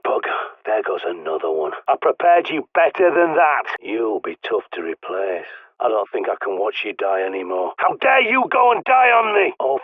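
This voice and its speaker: A man with a British accent voicing a gruff, paranoid doomsday survivalist in a video game, blunt and commanding, heard through a radio filter. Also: mid-range voice, no echo. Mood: weary, bitter, then frantic, angry. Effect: radio transmission filter. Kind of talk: video game character dialogue. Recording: narrow, phone-like radio sound.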